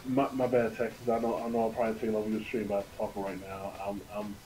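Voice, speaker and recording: Low-voiced man chatting casually on stream. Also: monotone, fast talker, warm tone, low-quality recording. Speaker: game livestreamer. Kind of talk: casual rambling stream chat.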